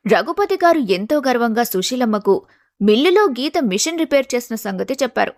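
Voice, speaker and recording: A woman's voice: on the high side, very animated, normal speed, a little mellow, no echo, studio quality. A woman reading a scripted family story as its narrator.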